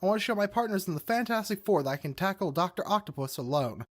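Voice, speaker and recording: An actor playing an eager young hotheaded superhero in a comic book dub, brash and excitable. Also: mid-range voice, fast, close to an everyday voice.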